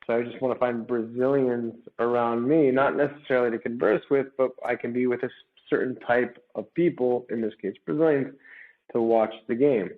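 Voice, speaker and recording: A man's voice: mid-range voice, steady tone, normal speed. Man on a thin, phone-like call, explaining. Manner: talkative, checks in with tag questions. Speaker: startup founder being interviewed. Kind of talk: conversational interview, explaining and pitching. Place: remote call. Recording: muffled, phone-like remote call audio.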